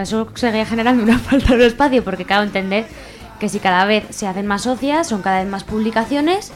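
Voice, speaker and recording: Casual Spanish woman, mid-pitched voice. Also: a little mellow. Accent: Spanish, from Spain. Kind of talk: casual spontaneous radio chat.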